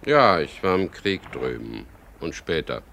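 An actor playing a dry, businesslike skipper in a radio crime drama, with a gravelly voice. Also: male voice, a bass voice, big pitch swings, a little slow, a bit bright, slight background noise, full character voice. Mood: brisk, probing. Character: wary, businesslike skipper of a chartered sailboat, also narrating. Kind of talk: 1970s German radio crime drama, narration and dialogue.

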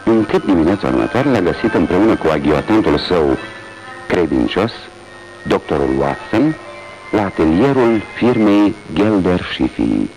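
A man narrating a radio drama with measured storytelling. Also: low voice, sing-song, a little mellow, rough recording. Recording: music behind.

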